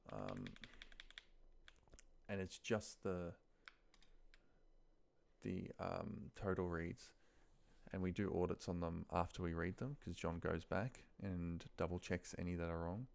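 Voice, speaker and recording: A man's voice: deep voice, slow pace, gravelly, long pauses, a little mellow, a little hiss or hum. A man giving a casual screen-share walkthrough, thinking aloud and muttering to himself in short phrases. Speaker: office trainer showing a data task. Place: indoors at a computer, screen recording.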